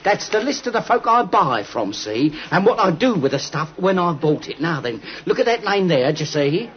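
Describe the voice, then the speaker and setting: A man playing a gruff, quarrelsome London poultry salesman in vintage radio drama, blustering and combative in a London accent. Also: a little high, sing-song, moderate pace. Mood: cocky, gloating. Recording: dull, noisy vintage radio recording.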